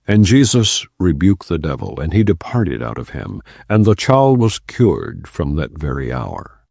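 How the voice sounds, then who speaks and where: Deep-voiced man reading scripture solemnly. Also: vocal fry, a little mellow, no echo, clean studio sound. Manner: measured, solemn reading of archaic verse. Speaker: audiobook narrator.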